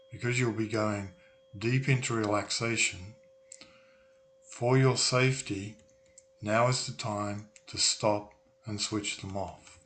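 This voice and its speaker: A man narrating a guided meditation in a soothing, deliberate way with long pauses. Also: on the low side, background noise. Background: humming tuning forks.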